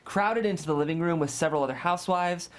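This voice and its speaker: A man's voice: fairly high pitch, fast talker. A man serves as narrator at a script table read. He reads scene descriptions and stage directions as scripted narration.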